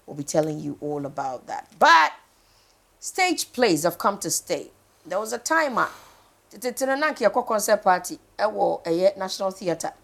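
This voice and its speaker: A woman's voice: mid-range voice, sing-song, normal speed, creaky, a bit bright. A woman with a Ghanaian accent chatting casually as a morning TV host, switching between two languages. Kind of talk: casual TV chat, code-switching.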